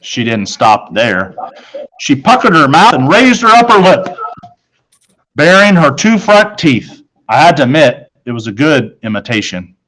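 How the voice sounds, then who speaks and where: A man's voice: a little high, sing-song, a little slow, fairly bright tone, rough recording. A man narrating a children's book in the first person, reading from the text as a storyteller.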